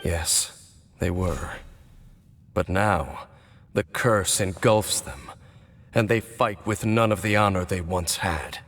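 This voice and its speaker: An actor playing a calm, solemn ancient knight in a video game, speaking in a measured, composed way. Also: male voice, deep voice, big-room sound, slight background noise. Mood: grave. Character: grave ancient male knight, calm and knowing.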